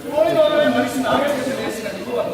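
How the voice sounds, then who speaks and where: A man's voice: very high voice, fast. A man acting a poor, downtrodden, aggrieved village man in a stage play, declaiming in rhetorical questions. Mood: bitter, aggrieved. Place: stage in a large hall. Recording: echoey hall from far off, music behind.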